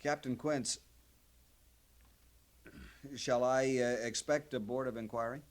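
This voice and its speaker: A man's voice: medium pitch, steady tone, takes it slowly, natural voice. An American man acting an earnest, hot-headed young lieutenant in a 1950s radio western, addressing superiors with formal military deference. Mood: subdued. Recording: 1950s radio drama recording.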